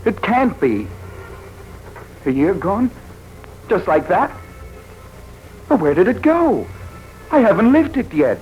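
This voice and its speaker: An actor playing a bewildered, distressed, hungover reporter with amnesia in an American 1940s radio drama, questioning everything in disbelief. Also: male voice, high voice, sing-song, slow pace, pauses often, exaggerated voice. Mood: stunned, distressed. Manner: agitated, incredulous questioning. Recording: muffled 1940s radio recording with music.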